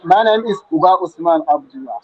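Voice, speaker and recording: A man, a student travelling home, speaking loudly and repeating himself over a poor connection. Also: fairly high pitch, expressive, a little fast, phone-quality sound. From Kano State, Nigeria.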